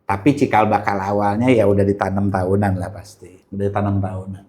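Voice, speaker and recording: A man's voice: fairly low pitch, little pitch movement, quick pace, a little mellow. A man with a Jakarta Indonesian accent in a casual chat, telling things in slangy everyday language and acting out bits of dialogue. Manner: slangy, acts out dialogue with rhetorical questions. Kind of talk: casual chat, colloquial storytelling.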